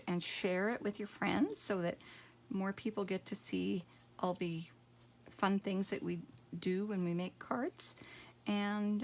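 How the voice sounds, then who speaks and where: A middle-aged woman with a croaky voice, demonstrating card making at home and thinking aloud in a relaxed, rambling way. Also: mid-range voice. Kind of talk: casual craft tutorial.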